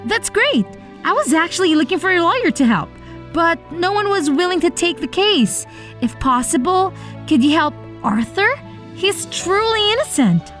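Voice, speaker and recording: A woman voicing an earnest, optimistic young heroine who narrates her own animated story in an expressive, dramatic way. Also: extremely high pitch, normal speed, full character voice. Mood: eager, pleading. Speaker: narrator and lead character. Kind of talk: animated first-person story narration with acted dialogue. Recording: voice over background music. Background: music.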